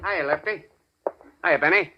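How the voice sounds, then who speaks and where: An actor playing a small, bullying crime boss, sly and menacing with needling tough talk, in a 1940s radio drama. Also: male voice, on the high side, measured pace, lo-fi sound, background noise, natural voice. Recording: vintage 1940s radio broadcast.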